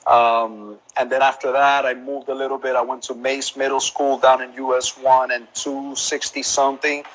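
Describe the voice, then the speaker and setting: A man's voice: mid-range voice, normal speed, low-quality recording. A man in his thirties from Colombia with a Colombian Spanish accent, chatting casually as a guest on a live video interview, easygoing and often repeating words as he thinks. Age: 30s. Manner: relaxed, calls the host man and bro, repeats words while thinking. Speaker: photographer and business owner. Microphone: phone or laptop on a live video call.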